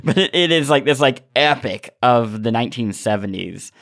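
A man's voice: mid-range voice, animated, moderate pace, a bit bright. A man hosting a podcast in casual chat, telling stories in a rambling way with repeated words. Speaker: podcast host.

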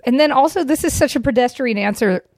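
Woman, podcast guest, fairly high pitch, slangy emphatic chat, dry sound. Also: fast, a little mellow, no echo. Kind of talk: casual chat.

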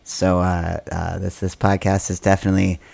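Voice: low voice, level tone, normal speed, creaky, a little mellow. A podcast co-host chatting in an easygoing, hesitant way with many fillers. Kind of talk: casual chat. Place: small recording studio.

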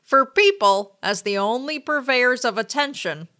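A woman podcast host reading a book aloud dramatically, in a deliberate, declamatory way. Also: a little high, expressive, pushed and bright.

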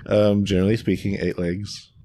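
A man's voice: on the low side, flat delivery, normal speed, warm tone, dry recording. A man co-hosting an animal podcast in casual chat, laid-back and wry, often chuckling. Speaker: podcast co-host.

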